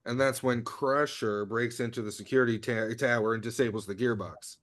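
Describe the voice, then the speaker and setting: A man reads an earnest heist crew planner in a comedy script. The voice is natural and medium in pitch, as in a table read. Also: steady tone, a little fast, a bit bright, natural voice. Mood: urgent, earnest.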